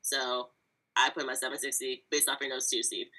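A woman's voice: a bass voice, flat delivery, moderate pace, pushed and bright, poor audio. A woman from Virginia co-hosting a casual sports podcast, running through predictions in a chatty way with lots of "like" fillers. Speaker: podcast co-host.

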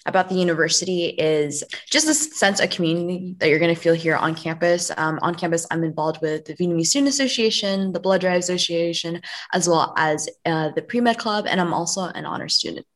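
A woman's voice: lowish voice, a little fast. A young woman from Oregon, a student guide, answering in a friendly way with frequent ums. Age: young adult. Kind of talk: casual interview answers.

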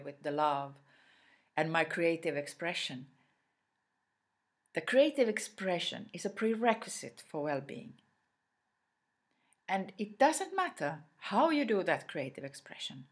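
A native Swedish-speaking woman gives an unscripted motivational talk, addressing the listener directly. Her voice sits a little low, and she speaks very slowly. She is reflective and often pauses partway through a phrase. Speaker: inspirational speaker.

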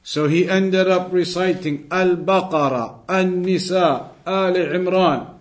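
High-voiced man teaching religion, deliberate. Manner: deliberate, repeats words and phrases for emphasis. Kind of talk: Islamic lesson, reading Arabic texts aloud and explaining them in English.

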